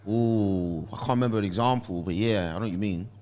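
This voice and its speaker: A young man with a London accent, a guest comedian in relaxed conversation, laid-back and quick to laugh. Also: on the low side. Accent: London English. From England. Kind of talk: casual chat.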